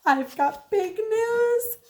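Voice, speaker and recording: A woman voicing an excitable, pushy first-grade girl from a children's storybook, with emphatic, childlike phrasing. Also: extremely high pitch, very slow, pitched above the performer's own voice, heavily acted. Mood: excited, proud. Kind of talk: children's storybook read-aloud.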